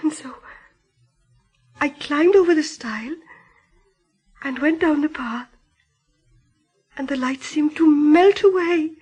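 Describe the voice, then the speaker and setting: A grieving country wife in a radio drama. Her voice is strikingly high. She tells her story in halting, careful detail, bewildered by loss. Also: darkish tone. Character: grieving rural farmer's wife recounting her husband's death.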